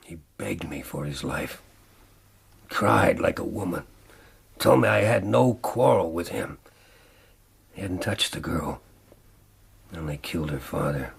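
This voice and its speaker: A grim, vengeful murderer giving his confession in a radio drama. The voice is extremely low and full of gravel, dramatic and unrepentant, with faint noise behind it. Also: unhurried, long pauses, exaggerated voice. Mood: defiant. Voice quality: very deep, gravelly.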